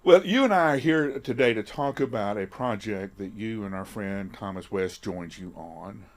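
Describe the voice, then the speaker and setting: Host, man, lower voice, easygoing and conversational. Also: moderate pace. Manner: relaxed, frequent short affirmations. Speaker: host, pastor. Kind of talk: casual conversational interview.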